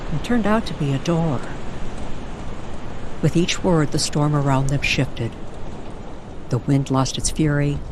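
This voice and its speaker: A woman narrating a scripted atmospheric story in a measured way, with dramatic pauses. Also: deep voice, slight background noise.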